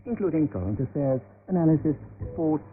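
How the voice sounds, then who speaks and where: A male radio announcer comes through a thin, phone-like shortwave signal. He reads a scripted programme guide with very lively, swinging intonation. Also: fairly high pitch, fairly quick. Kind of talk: scripted programme promo narration. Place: radio studio. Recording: narrow-band shortwave radio transmission.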